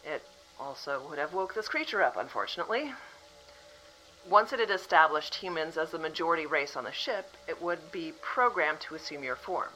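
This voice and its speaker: A composed official from the future, calmly delivering terrible news, played by a woman. Her voice is bright and lively in pitch. She stays matter-of-fact and politely apologetic, with a faint music bed behind. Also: a little fast, low-quality recording. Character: calm female official from the future. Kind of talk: audio drama acting.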